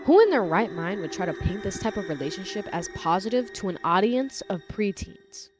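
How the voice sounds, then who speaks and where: A woman's voice: medium pitch, sing-song, a little fast. A woman giving casual video commentary in an informal, opinionated way. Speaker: YouTube commentator. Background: music behind the voice.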